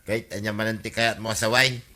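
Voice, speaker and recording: An actor with an Ilocano accent playing an exasperated village husband who complains emphatically in a radio comedy drama. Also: male voice, fairly low pitch, little pitch movement, a little fast, bright, forceful tone. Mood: incredulous.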